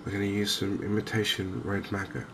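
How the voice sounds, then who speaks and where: A soft-spoken man with an English accent giving unhurried, hesitant ASMR commentary over a video game. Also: on the low side, background noise. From England. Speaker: gaming video host.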